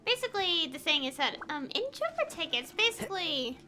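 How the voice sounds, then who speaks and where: A woman streaming games talks in a high, very lively voice with a bright edge. She chats casually and rambles, often breaking her thoughts into halting fragments. There is faint noise behind her. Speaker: game streamer. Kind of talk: casual livestream chat.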